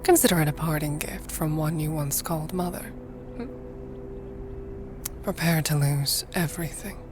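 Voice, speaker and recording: An actress playing a cool, sardonic middle-aged mother and scientist in an audio drama, with formal, arch, measured diction. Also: sits low, steady tone, noisy background, natural voice. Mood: cold, ominous. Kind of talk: dramatic audio fiction acting.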